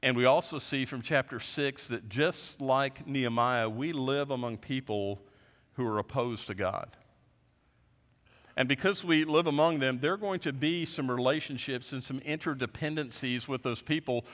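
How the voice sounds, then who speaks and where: A man in his fifties, a pastor preaching a sermon from a lectern in a large church, earnest, often dropping his voice low at the end of a point. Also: moderate pace, a bit bright. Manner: earnest, gestures widely, voice drops low at ends of points. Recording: long reverb of a large sanctuary.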